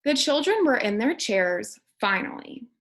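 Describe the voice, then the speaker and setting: A woman teacher reads a children's story aloud for young listeners. Her voice is fairly high and swoops with expression. She goes slowly and deliberately, addressing the children directly. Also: very animated, fairly bright tone. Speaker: kindergarten teacher reading aloud.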